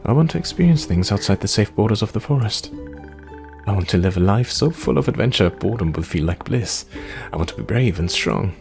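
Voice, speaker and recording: A man's voice: lowish voice, animated, brisk, vocal fry, darkish tone, faint music behind. A man voicing a young forest ranger in a fantasy game, dreamy, earnest and yearning for adventure.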